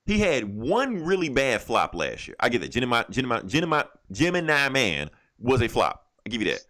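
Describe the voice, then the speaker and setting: A man's voice: mid-range voice, huge pitch swings, fairly quick, fairly bright tone. A man hosting a podcast with casual, opinionated movie chat, swearing freely and repeating phrases for emphasis. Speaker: podcast host.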